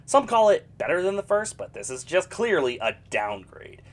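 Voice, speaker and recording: A man's voice: sits high, big pitch swings, normal speed. A man reviewing video game movies in a scripted, casual voice-over, wry and sarcastic with mock-exasperated outbursts.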